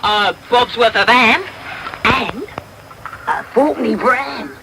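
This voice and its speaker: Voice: mid-range voice, slow, creaky. An actor playing a cocky young London pickpocket boy in a radio drama, full of teasing slang, taped off a noisy radio. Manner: slang-heavy, teasing patter. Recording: noisy medium-wave radio taped off air.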